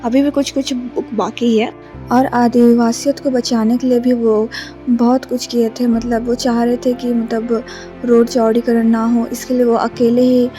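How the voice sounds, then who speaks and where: A young woman from Jharkhand talks softly and flatly into her phone, with many hesitations. Her voice is mellow, a little raised in pitch, and a bit rough from a sore throat. Faint music plays underneath. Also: measured pace. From Jharkhand, India. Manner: soft, subdued, many hesitations. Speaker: vlogger. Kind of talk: casual vlog narration. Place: bus stand, then a relative's flat.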